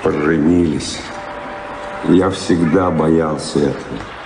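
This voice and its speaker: An actor playing a restless seafaring adventurer and defensive lover in a radio play, speaking in a dramatic, impassioned, rhetorical way. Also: male voice, very deep voice, slow pace, creaky, background noise, exaggerated voice. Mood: resentful, defiant. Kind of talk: theatrical radio play acting. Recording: roomy radio drama, loud music behind.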